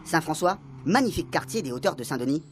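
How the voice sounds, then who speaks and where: A man's voice: on the high side, lively intonation, fast, over music. A man reading scripted narration for a comic radio serial.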